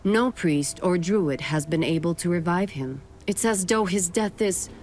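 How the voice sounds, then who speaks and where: An actress playing a towering night elf warrior sentry in a radio play, stern and haughty. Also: female voice, fairly low pitch, a little slow, a little mellow, slight background noise, close to an everyday voice. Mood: grave.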